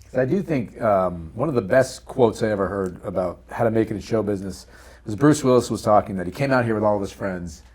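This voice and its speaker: A man's voice: lowish voice, fairly quick, darkish tone. A man hosting a podcast, chatting casually as he interviews his guests. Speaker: podcast host interviewing voice actors.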